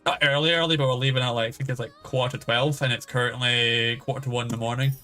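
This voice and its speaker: A man's voice: medium pitch, fairly even intonation, fast talker, pushed and bright. A man chatting casually as a game streamer, rambling and swearing freely as he goes. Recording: music playing behind the voice. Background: background game music.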